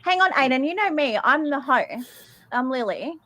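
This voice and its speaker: A woman's voice: high voice, animated, moderate pace, sharp tone. A woman hosting an online audio chat, speaking politely and in a conciliatory way.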